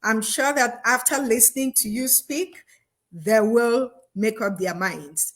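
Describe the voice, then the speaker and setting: A woman hosts a business interview, friendly and a little halting, with frequent ums. She talks slowly in a slightly high voice. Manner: halting, friendly, many fillers. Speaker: host. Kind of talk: interview over video call.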